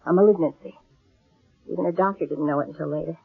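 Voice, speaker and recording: Husky middle-aged fortune teller con lady, commanding, thin phone-like old radio drama sound. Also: deep voice, quick pace, full character voice. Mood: uneasy, earnest. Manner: portentous, commanding delivery. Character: shrewd aging female fortune-teller con artist, solemn and commanding. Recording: thin, narrow-band old radio recording.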